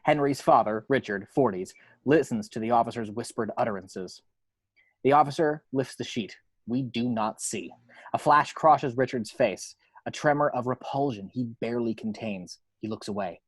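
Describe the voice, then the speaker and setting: A man's voice: mid-range voice, normal speed, slightly rough, dry recording. A man narrating screenplay action lines at an amateur table read in a matter-of-fact storytelling way.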